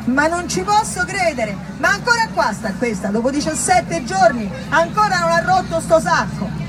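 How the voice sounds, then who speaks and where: Woman playing a brusque, evasive senior doctor over loud crowd. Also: extremely high pitch, sing-song, moderate pace, pitched above the performer's own voice, poor audio. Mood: callous, musing. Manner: brusque, dismissive, hedging. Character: hurried, evasive, pious male head physician, self-important. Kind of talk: theatrical monologue dialogue.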